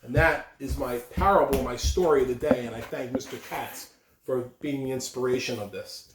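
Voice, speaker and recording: A man's voice: medium pitch, moderate pace, noisy background. A man speaking emphatically and directly to children, giving school announcements and telling stories. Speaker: school announcer reading aloud. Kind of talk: morning announcements and storytelling for children. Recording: echoey room, distant microphone.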